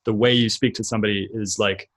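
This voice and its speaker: Low-voiced, halting male podcast host. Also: steady tone, a bit bright. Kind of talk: casual interview.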